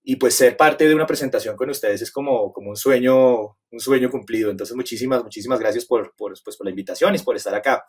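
A man's voice: mid-range voice, quick pace, few pauses, slightly rough. Colombian man presenting in a rambling way. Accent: Colombian Spanish, Bogotá. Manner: grateful, rambling with many fillers and restarts. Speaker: guest photographer presenter. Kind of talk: webinar presentation. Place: home setup on a video call. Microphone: webcam on a video call.

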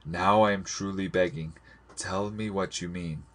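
A man plays an earnest old sailor in a storybook, with a fairly low voice. He is patient but insistent, pleading in measured, formal phrases. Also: fairly even intonation.

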